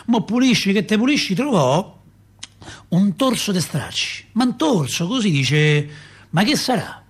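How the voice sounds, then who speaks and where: A man's voice: high voice, measured pace, slightly rough, full character voice. An actor playing a chatty Roman father who tells city tales in a folksy, rambling way, with a Roman accent. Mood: earnest storytelling. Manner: animated, folksy, rambling, rhetorical. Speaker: actor in a radio theatre monologue. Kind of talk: colloquial dramatic storytelling.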